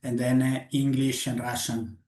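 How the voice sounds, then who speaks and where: A man, a goalie coach answering interview questions haltingly with many fillers. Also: medium pitch, flat delivery, a little mellow. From Switzerland. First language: Italian. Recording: roomy echo.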